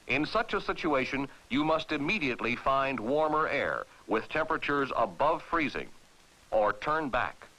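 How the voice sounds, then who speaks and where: Bright-voiced American male training film narrator. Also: medium pitch, sing-song, normal speed, poor audio. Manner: authoritative, clearly enunciated, formal. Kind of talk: scripted instructional narration, 1960s military training film. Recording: vintage 1960s film soundtrack.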